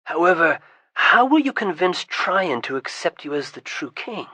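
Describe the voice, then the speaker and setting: A reader doing a calm, courtly wizard. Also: medium pitch, normal speed, no room sound. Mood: flattering. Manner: composed, courteous, persuasive. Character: calm, smooth-talking adult wizard adventurer.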